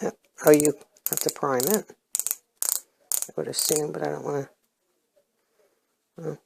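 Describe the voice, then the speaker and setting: Middle-aged woman unboxing beauty stuff, hoarse from a cold, gravelly voice, lots of ums. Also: sits low, steady tone, unhurried, noisy background. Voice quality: hoarse, clears her throat. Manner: chatty, plainspoken, hesitant with ums. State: getting over a cold, losing her voice. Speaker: unboxing vlogger. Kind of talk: casual product review.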